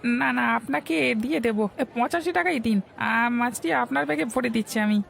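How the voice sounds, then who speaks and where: A woman's voice: a little high, normal speed, creaky, a bit bright, no room sound, heavily acted. A woman voicing an eager, chatty market fish seller in a Bengali cartoon, with crowd murmur behind. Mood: eager, obliging.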